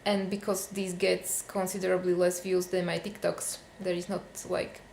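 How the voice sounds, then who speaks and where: A woman's voice: lowish voice, level tone, moderate pace, creaky, darkish tone, echoey room, a little hiss or hum. A young woman from Slovakia with a Slovak accent, venting casually to camera in a vlog, with many fillers. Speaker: vlogger.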